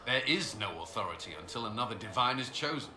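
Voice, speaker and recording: A man's voice: mid-range voice, expressive, quick pace, bright, forceful tone. An actor playing a firm, exasperated male military commander in a fantasy video game, with a curt, dry, commanding way of talking. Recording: roomy echo, clear background noise.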